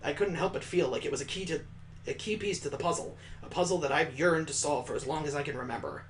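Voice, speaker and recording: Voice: medium pitch, fast, a little hiss or hum, natural voice. A let's player voicing a curious, polite student in a visual novel. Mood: pensive. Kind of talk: visual novel character reading.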